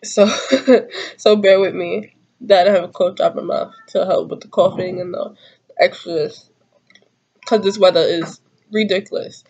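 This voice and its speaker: A woman's voice: lowish voice, steady tone, measured pace, pauses often, darkish tone, slightly rough, muffled recording. A woman in her twenties chatting casually to camera, a bit congested from a cold, giggly and rambling in slangy talk. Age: 20s. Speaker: YouTuber doing a tag video. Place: small room at home, indoors.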